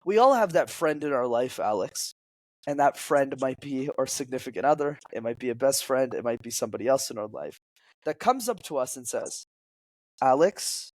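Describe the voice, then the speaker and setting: A young man with French as his first language talks through his points as a public speaking coach, lively and teacherly. His voice sits at an ordinary middle pitch. It is recorded close and dry, with no room echo. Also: moderate pace. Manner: energetic, explanatory, teacherly. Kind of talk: podcast interview guest.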